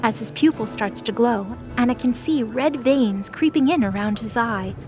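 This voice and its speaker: High-voiced woman narrating over music. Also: normal speed. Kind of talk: scripted story narration.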